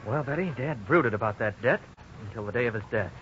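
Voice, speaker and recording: An actor playing a worried young husband, frank and resigned, in a 1950s radio drama. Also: male voice, medium pitch, expressive, a little fast, over loud music, lightly acted. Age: young adult. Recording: dull, hissy 1950s radio recording.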